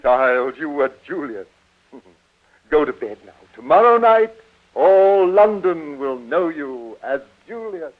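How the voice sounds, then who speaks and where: A man's voice: a little high, big pitch swings, takes it slowly, slight background noise. An actor playing a doting, theatrical middle-aged father in 1940s radio drama style. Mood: proud, tender. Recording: muffled old radio, music behind.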